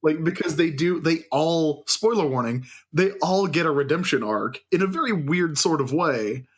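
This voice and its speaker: A man's voice: on the high side, lively intonation, normal speed, slightly rough. A man co-hosting a podcast, chatting casually and telling stories with wry enthusiasm. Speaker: podcast co-host. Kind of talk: casual chat.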